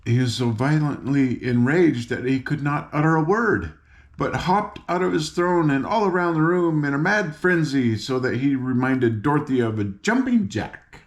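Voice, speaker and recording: A man's voice: mid-range voice, animated, normal speed. Older man reading a storybook aloud. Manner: folksy, informal, stumbles over words. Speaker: audiobook reader. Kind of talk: read-aloud storybook narration with chatty asides.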